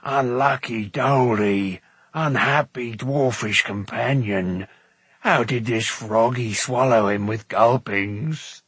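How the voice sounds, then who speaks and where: Man acting a timid storybook creature, moaning, overwrought, quaint phrasing, husky, dry close sound. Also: mid-range voice, slow pace, a bit bright, no echo. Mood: horrified, moaning. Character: wailing, timid, servile creature companion. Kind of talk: audiobook character voice.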